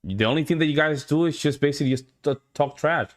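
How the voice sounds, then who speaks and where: A man's voice: fairly high pitch, quick pace. A man from Rico, a Spanish speaker, hosting a casual reaction stream in a blunt, dismissive, profane way. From Puerto Rico. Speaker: reaction video host. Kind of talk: casual live reaction commentary.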